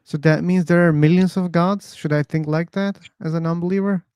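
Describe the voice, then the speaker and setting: A man's voice: a little high, steady tone, a little fast, darkish tone, clean tone, no echo. A man hosting an online discussion about belief, asking probing, teasing questions. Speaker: host. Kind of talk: casual debate chat. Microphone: streaming setup.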